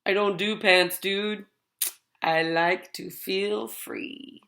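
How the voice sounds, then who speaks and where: A woman acts out a friendly hacker spider from a children's story. The speech is slangy and easygoing, slow in pace, with a clear bright edge. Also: medium pitch, steady tone, voice pushed down, heavily acted. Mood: laid-back. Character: laid-back, friendly computer hacker spider dude.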